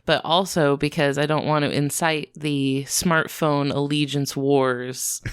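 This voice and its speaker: Woman podcast co-host, lowish smooth voice, playful teasing, slight cold, dry close sound. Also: level tone, measured pace, no echo. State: has a little cold. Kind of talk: casual podcast chat.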